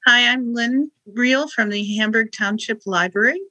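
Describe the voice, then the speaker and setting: A woman's voice: a little high, measured pace, sharp tone. A middle-aged woman giving casual, conversational answers as a podcast guest.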